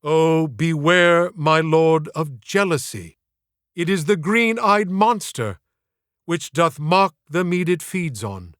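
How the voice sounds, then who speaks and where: A man's voice: on the high side, animated, slow, fairly bright tone, clean tone, dry recording, studio quality. A man voicing an earnest, determined schoolboy in an audiobook, speaking in a grand, declamatory manner. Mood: grand, reciting.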